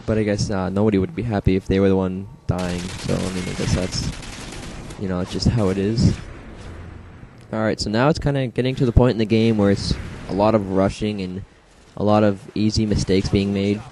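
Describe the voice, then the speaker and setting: A young man, slightly hoarse from a congested throat, rambling casually through his own match footage with many fillers and self-deprecating asides. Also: fairly low pitch, steady tone, normal speed, background noise. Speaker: gameplay commentator. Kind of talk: casual gameplay commentary.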